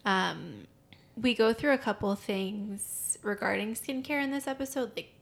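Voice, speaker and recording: A woman's voice: mid-range voice, a little slow, gravelly. A woman co-hosting a podcast, chatting casually and agreeing often. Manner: chatty, agreeable, many yeahs. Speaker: podcast co-host. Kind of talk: casual chat.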